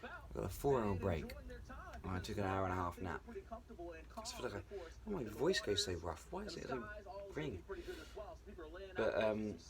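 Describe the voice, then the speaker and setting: A man's voice: lowish voice, wildly swooping pitch, a little slow, pauses often, crowd noise. A man working as cruise ship crew, talking casually to camera and rambling through restarts and fillers. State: rough voice just after a nap. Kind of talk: casual vlog talk to camera.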